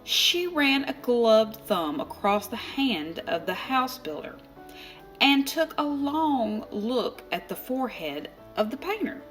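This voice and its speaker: Slow-paced woman reading a children's story. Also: fairly high pitch, very animated, fairly bright tone. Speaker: storybook reader. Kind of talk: expressive children's read-aloud narration. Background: music.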